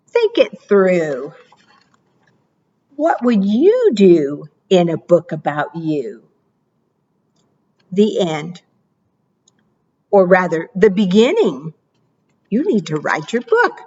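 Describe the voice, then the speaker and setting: A woman librarian reading picture books aloud to preschoolers, with expressive, animated delivery, asking the children questions and praising their answers. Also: dull audio. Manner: expressive, animated read-aloud with playful pitch jumps.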